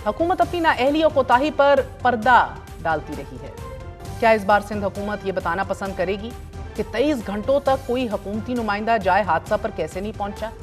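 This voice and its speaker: A woman's voice: mid-range voice, expressive, moderate pace, soft background music. A woman, hosting a news talk show, delivering scripted commentary with indignant, pointed rhetorical questions.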